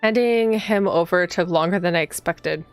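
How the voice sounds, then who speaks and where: A woman's voice: lowish voice, normal speed, clear, resonant tone, dry recording, soft background music, natural voice. A woman reading the part of a proud, dutiful young policewoman in a visual novel, earnest and easily indignant. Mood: composed.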